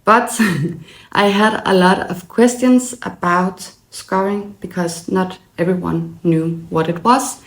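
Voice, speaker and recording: Slow Danish-accented woman, gravelly voice. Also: medium pitch, steady tone. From Denmark. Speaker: small business owner and laser file designer. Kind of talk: personal story narration. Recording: echoey room.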